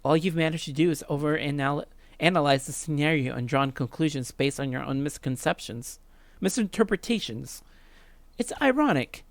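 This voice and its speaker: A let's player voicing a calm, condescending schoolgirl killer from a visual novel, composed and lecturing. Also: medium pitch, moderate pace, dry recording, voice pushed down, lightly acted. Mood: cool, condescending.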